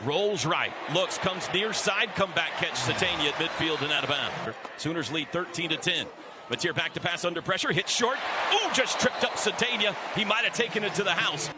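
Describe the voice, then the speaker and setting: A man's voice: sits high, fairly quick. An American man calling live football play-by-play on the radio in clipped, staccato phrases, as the announcer. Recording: radio broadcast over loud stadium noise. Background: loud stadium crowd noise.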